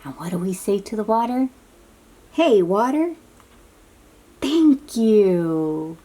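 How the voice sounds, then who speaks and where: A woman with a soft, breathy voice reads a picture book aloud to young children, gently explaining and chatting to them as she goes. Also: mid-range voice, animated. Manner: slow, child-directed, encouraging questions and affirmations. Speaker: read-aloud storybook host.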